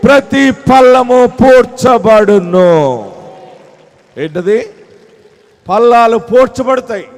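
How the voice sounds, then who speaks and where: High-voiced man preaching fervently. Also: big-room sound. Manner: impassioned, repetitive proclaiming, swinging from hushed to loud. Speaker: pastor. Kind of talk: fervent sermon with prayer.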